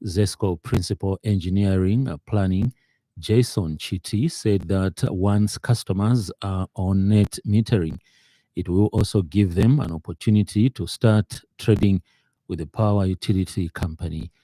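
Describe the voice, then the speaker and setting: A man with a Zambian accent reading a scripted radio news bulletin in a measured, formal, slightly hesitant way. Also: low voice, warm tone, dry recording. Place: radio studio.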